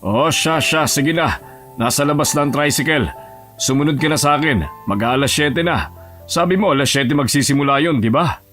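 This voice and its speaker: A man voicing a gentle, regretful old grandfather who talks in a plain, fatherly way in an audio drama. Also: a little high, moderate pace, soft background music, natural voice. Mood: fussing, fond. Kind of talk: Tagalog audio drama acting.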